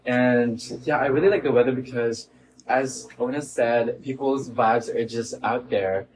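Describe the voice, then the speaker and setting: A man's voice: mid-range voice, measured pace, darkish tone, distant mic, a little hiss or hum, rough recording. A young man hosting a casual podcast chat, talking loosely with lots of fillers and playful quoted voices. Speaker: podcast host.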